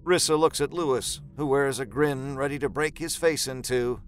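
A man's voice: mid-range voice, moderate pace, faint music behind. A man narrating a scripted horror story as the narrator of an audio drama.

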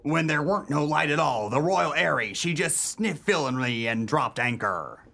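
A gravelly, swaggering pirate called Duke. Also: male voice, on the high side, expressive, normal speed, bright, forceful tone, full character voice. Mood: baffled, grumbling. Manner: rustic pirate dialect, dropped grammar. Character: Duke, vengeful, mocking male pirate. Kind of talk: comic pirate radio play acting.

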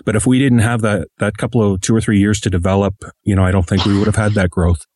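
A man's voice: lowish voice, fairly even intonation, fast talker, a little mellow, dry recording. A man speaking as an interviewed podcast creator, thoughtful and wordy, with a self-deprecating streak in conversation.

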